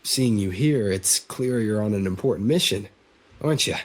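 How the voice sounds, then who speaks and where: Casting: keen, ingratiating game newcomer, husky, slightly low voice. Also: male voice, expressive, normal speed. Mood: eager, flattering. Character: eager, flattering newcomer man seeking a challenge. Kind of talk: video game voice acting.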